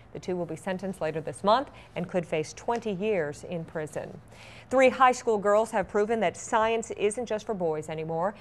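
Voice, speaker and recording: A woman anchoring a newscast, reading scripted stories with light conversational asides. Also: medium pitch. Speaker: TV news anchor.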